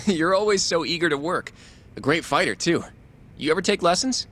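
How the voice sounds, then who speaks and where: Higher-voiced friendly young guy. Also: expressive, normal speed, a bit bright, slight background noise. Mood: friendly, admiring. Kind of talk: English video game dub acting.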